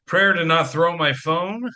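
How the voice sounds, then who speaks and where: A man's voice: a little high, sharp tone, full character voice. A man playing a stressed, anxious divorcing father in an audio play, with a wry, self-deprecating and earnest manner. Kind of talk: community theatre audio play acting.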